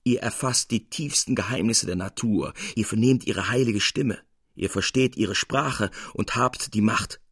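Audiobook part, read by a man: adoring young male surgeon, earnest and reverent, low gravelly voice, formal period phrasing. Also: unhurried, fairly bright tone, dry recording, studio quality, exaggerated voice. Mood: fervent admiration. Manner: fervent, reverent, formal old-fashioned speech.

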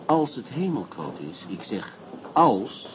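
An actor playing a skeptical, wry police inspector and doting husband in a radio play, teasing and conversational. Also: male voice, mid-range voice, big pitch swings, slow, a little hiss or hum, poor audio. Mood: wry, reasoning.

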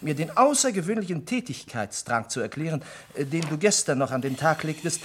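Male actor, loyal exasperated gentleman sidekick, lively intonation, fussy, hemming, faintly noisy radio play recording. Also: a little high, a little fast, close to an everyday voice. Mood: curious, mildly reproachful. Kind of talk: German radio play acting.

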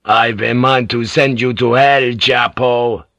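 A man voicing a crude, brutish sailor who threatens loudly. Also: on the low side, big pitch swings, takes it slowly, no room sound, full character voice. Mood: menacing. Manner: coarse, threatening, loud.

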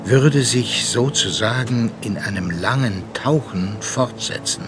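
An actor as the grave narrator of a sea tragedy in a radio play, measured, solemn and dramatic. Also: male voice, medium pitch, over music, natural voice.

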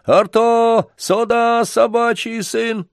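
A man's voice: very high-pitched, fairly even intonation, slow pace, clean tone, dry recording, studio quality, pitched above the performer's own voice, heavily acted. A man voicing a frail old grandfather, a grumbling, plaintive circus wanderer in a story, speaking in a folksy, emphatic way. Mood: anxious calling. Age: older.

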